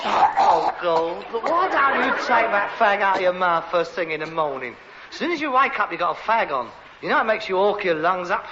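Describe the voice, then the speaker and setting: An actor playing an exasperated, sarcastic adult son with mock-refined airs and a London Cockney accent in a vintage British sitcom. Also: male voice, high voice, sing-song, moderate pace, over a noisy crowd. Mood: disgusted, exasperated. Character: exasperated, sarcastic adult son with aspirations, rag and bone man. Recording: dull, noisy vintage broadcast recording.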